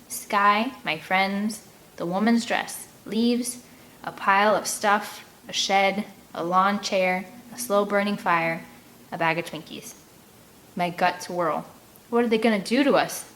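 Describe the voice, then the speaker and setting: A woman hosts a read-aloud in a medium-pitched voice. Her narration is expressive yet casual. Noticeable noise sits behind her voice. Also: rough recording.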